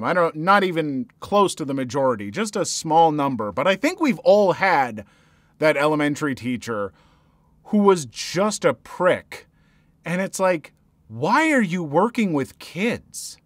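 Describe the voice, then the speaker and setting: A man with a fairly high voice reads listener stories and chats about them like a podcast host. His delivery swings between loud, lively bursts and quiet, hushed asides. The sound is dry and close, with no room around it. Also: no room sound. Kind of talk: story reading with commentary.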